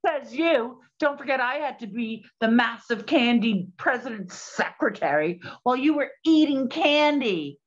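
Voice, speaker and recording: A woman playing a plucky, practical 1920s detective and bossy sister in a period drama, with brisk, slangy talk. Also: a little high, expressive, muffled recording. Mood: indignant, brisk. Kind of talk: scripted period radio drama acting.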